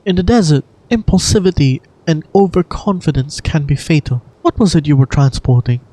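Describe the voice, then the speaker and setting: An amateur voice actor playing a calm, courteous female guardian from a video game, speaking in a measured, cautioning way. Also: medium pitch, dry recording, close to an everyday voice. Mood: courteous, firm.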